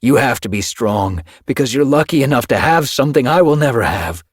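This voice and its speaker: A man's voice: medium pitch, lively intonation, brisk, husky, dry recording, clean studio sound, pitched above the performer's own voice. A man voicing a grieving young male warrior cat in an audiobook, speaking earnestly and steadily. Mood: earnest, urging. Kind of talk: audiobook character voice.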